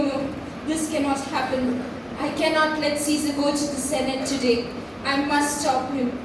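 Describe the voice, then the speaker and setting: A young woman playing a frightened, pleading Roman wife in a school stage play, declaiming dramatically. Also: high voice. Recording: distant, very echoey hall, clear noise.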